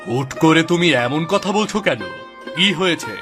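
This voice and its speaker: A wary village husband, acted by a man in a cartoon drama. His delivery is firm and cautioning, with a scornful edge. Music plays clearly behind him. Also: fairly high pitch, lively intonation, normal speed, natural voice. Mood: puzzled. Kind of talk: dramatized Bengali cartoon story dialogue.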